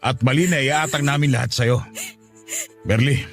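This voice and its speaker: A man playing a middle-aged father in a Filipino radio drama, humbled and apologetic, speaking gently and with contrition. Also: mid-range voice, moderate pace, lightly acted. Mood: contrite. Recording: faint music under the voice.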